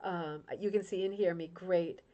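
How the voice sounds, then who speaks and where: A woman's voice: fairly low pitch, fairly even intonation, moderate pace, dark tone, muffled recording. Chatty woman hosting a livestream. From Rhode Island, United States. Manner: chatty and emotional, with frequent tag questions. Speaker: host of a crafting club livestream. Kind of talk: casual livestream chat, reading viewer comments.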